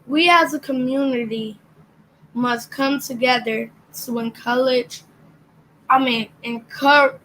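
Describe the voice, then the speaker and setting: A young reader works through a picture book aloud, sounding each line out carefully and stumbling now and then. The voice sits a little high, and the pace is very slow and deliberate. Faint background noise runs underneath. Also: female voice, steady tone, fairly bright tone, low-quality recording. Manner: careful reading, occasional stumbles on words.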